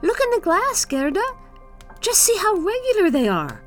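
A woman plays a peevish, excitable little boy from a storybook. The voice is pitched high and swoops around, emphatic and a bit scornful. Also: moderate pace, faint music behind, exaggerated voice. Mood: eager, excited. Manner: emphatic, exclaiming.

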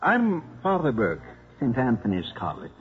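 An actor playing a mild, polite and quietly shrewd elderly priest in a 1950s radio drama. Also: male voice, mid-range voice, huge pitch swings, normal speed. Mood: polite, hesitant. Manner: polite, gentle, slyly understated. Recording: thin, phone-like 1950s radio recording, noise.

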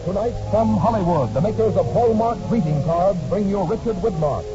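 A man working as announcer, reading scripted 1940s radio introductions and sponsor messages in a polished, measured way. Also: high voice. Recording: muffled old radio broadcast. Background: music.

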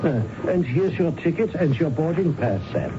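Actor as evasive higher-voiced male lawyer. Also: expressive, moderate pace, heavy background noise, natural voice. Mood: brisk, reassuring. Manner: hesitant, evasive, smoothly polite. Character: evasive Danish lawyer and former pilot, adult man. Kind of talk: radio drama acting. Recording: muffled old radio broadcast.

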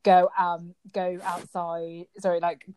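A woman hosting a podcast, asking easygoing questions in a relaxed conversational way. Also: lowish voice, slow pace, slight background noise. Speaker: podcast host. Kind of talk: casual conversational interview.

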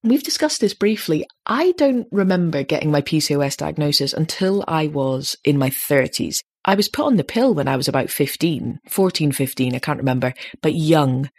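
A woman in her late thirties with a slightly deep voice, chatting casually as a podcast host and growing animated when she makes a point. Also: normal speed, hardly any pauses, breathy edge. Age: 30s. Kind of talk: casual chat.

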